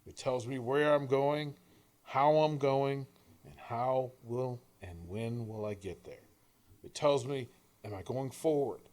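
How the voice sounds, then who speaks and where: A man with a mid-pitched voice reads an inspirational piece like an audiobook. His delivery is earnest and deliberate, leaning on key words and leaving dramatic pauses between short phrases. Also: a little mellow. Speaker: author reading own work; coach and radio host. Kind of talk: scripted inspirational audiobook-style reading.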